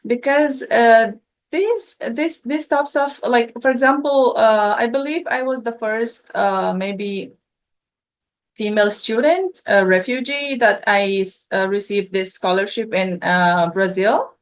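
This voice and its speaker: A woman's voice: fairly high pitch, slow. A young woman from Afghanistan, a refugee student, answering interview questions with many fillers and restarts. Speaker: scholarship student guest.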